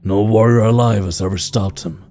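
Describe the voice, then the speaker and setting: A man's voice: fairly low pitch, fairly even intonation, normal speed, mellow, a little hiss or hum, lightly acted. A man playing a proud, grave king of stone folk in a fantasy audio drama, speaking in a stately, formal way. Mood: solemn. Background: background music.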